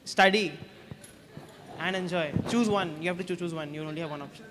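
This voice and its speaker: A man's voice: fairly high pitch, a bit bright, big-room sound, a little hiss or hum. A young man, a senior student, joking with freshers on stage in casual banter with many restarts. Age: young adult. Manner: joking, teasing, quick repeated restarts. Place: large hall on stage.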